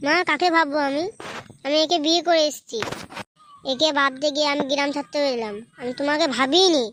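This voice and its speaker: A man's voice: extremely high pitch, fairly quick, fairly bright tone, slight background noise. A man voicing a brash, irritable young village man in a comedy cartoon, foul-mouthed. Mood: aggrieved. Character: brash young village man, runaway son back with his bride. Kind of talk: comedy cartoon dubbing in colloquial village speech.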